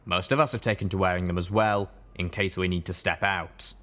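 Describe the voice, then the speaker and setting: A man voicing a rumbling, sternly hospitable undead ghoul stallion in a story reading, measured and warning. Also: fairly even intonation, fairly bright tone. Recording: echoey room, audible background noise.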